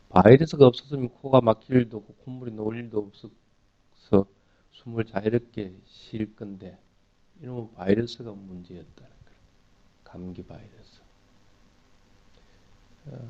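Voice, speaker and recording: A man's voice: mid-range voice, dry recording, dull audio. A man teaching in an explanatory dharma talk, putting questions to listeners and answering them in a didactic way. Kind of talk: explanatory sermon with everyday examples.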